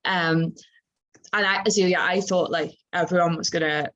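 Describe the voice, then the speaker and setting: A young woman with a softened Liverpool accent, talking informally on a student panel in a chatty, halting, self-correcting way. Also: fairly low pitch, fairly even intonation, dull audio. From Liverpool, England. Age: young adult.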